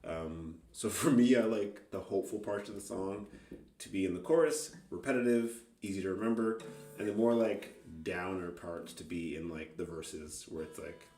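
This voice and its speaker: A man's voice: low voice, normal speed, dark tone. A man talks casually and off the cuff as a songwriter, rambling hesitantly and self-deprecatingly. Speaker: amateur singer-songwriter. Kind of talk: casual unscripted music vlog. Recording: roomy, distant mic, faint guitar.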